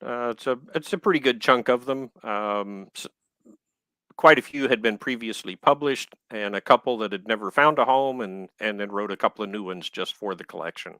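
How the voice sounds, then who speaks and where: A man in his sixties from Texas with a Southern accent, an author answering interview questions in a live video chat, unhurried, pausing on ums, with dry humor. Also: on the low side, bright, forceful tone, dry recording. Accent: Southern American. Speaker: author guest. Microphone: video call.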